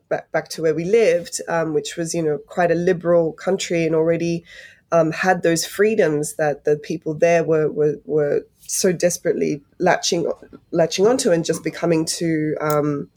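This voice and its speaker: Young aussie woman, kinda low voice, thinks out loud with lots of ums, guest on a call. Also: steady tone, normal speed. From Australia. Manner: thoughtful, many fillers and restarts, laughs lightly. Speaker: guest, climate correspondent. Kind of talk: casual interview. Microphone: video call.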